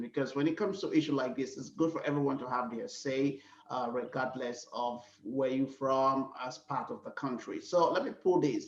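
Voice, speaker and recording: A man's voice: medium pitch, a little mellow, echoey room, distant mic, highs cut off. A man hosting a panel talk show, moderating loosely with filler words.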